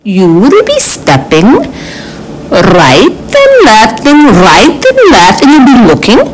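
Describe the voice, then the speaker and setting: A middle-aged woman playing an eccentric, theatrical fortune-teller, giving a forecast in halting, repetitive phrases with solemn whimsy. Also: on the high side, wildly swooping pitch, noisy background, exaggerated voice. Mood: oracular. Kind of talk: slow theatrical spoken forecast.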